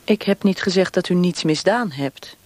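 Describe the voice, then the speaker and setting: An actress playing a cold, taunting secret police interrogator in a radio drama, speaking with controlled, coolly formal menace. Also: female voice, lowish voice, normal speed, a little mellow, lightly acted. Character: cold, mocking female secret police interrogator, perfectionist and cruel. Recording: noisy radio play recording with clicks.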